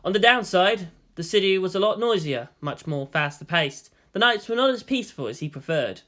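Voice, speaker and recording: A man narrates a story from a script. His voice is fairly high and quite bright. The delivery is expressive storytelling. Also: a little fast. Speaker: fan fiction narrator.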